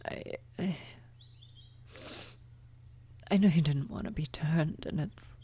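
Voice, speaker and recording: A woman playing a worried, tender vampire sorceress in an ASMR fantasy roleplay, speaking softly and haltingly, often near a whisper. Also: sits low, slight background noise, lightly acted. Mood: apologetic, tender. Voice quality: soft, breathy, often slipping into whisper. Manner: halting, hesitant, gentle. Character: worried female vampire blood sorcerer, tender, guilty lover. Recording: outdoor birdsong and ambience behind. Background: birdsong, nature ambience effects.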